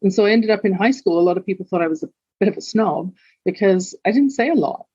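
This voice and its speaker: A woman's voice: medium pitch, animated, fast, dark tone, slightly rough, no room sound, lo-fi sound. An older woman with a London accent, a podcast guest telling her life story in an earnest, reflective way. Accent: London English. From England. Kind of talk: candid personal storytelling.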